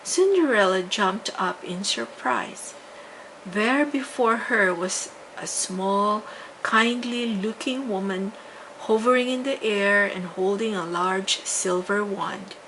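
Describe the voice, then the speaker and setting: An older woman narrating a children's bedtime story with deliberate, dramatic emphasis. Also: medium pitch, fairly bright tone, low-quality recording. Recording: faint background noise.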